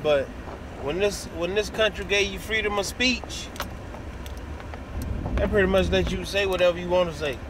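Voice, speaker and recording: A man's voice: sits high, normal speed, pauses often. A middle-aged American man giving a blunt, casual, sweary opinion monologue into his phone inside a vehicle. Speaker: vlogger. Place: inside a parked or moving vehicle cab. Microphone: phone at arm's length. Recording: phone recording with clear vehicle noise. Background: car and air conditioning hum.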